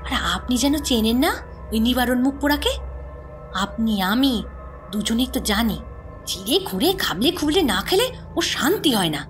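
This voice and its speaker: A woman's voice: on the high side, animated, normal speed, soft background music, close to an everyday voice. An actress playing a brusque, coarse, callous female servant in an audio horror story, urgent and blunt. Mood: grimly blunt.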